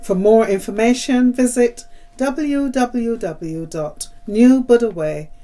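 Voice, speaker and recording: A woman's voice: a little high, slow pace, warm tone. A woman reading a scripted announcement to introduce and close a recorded talk series.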